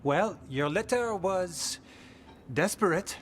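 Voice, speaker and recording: A slow, warden. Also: male voice, sits high, lively intonation, a bit bright, a little hiss or hum, full character voice. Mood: friendly. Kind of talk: fantasy video game acting.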